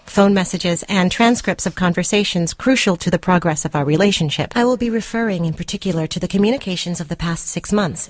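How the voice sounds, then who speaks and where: A woman's voice: on the low side, a little mellow, no echo, lightly acted. A woman playing a controlling girlfriend in a radio story, speaking with precise, coldly formal, condescending deliberation. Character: controlling, coldly formal jilted girlfriend dictating a rebuttal letter. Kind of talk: dramatic short story reading for radio. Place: dry radio studio.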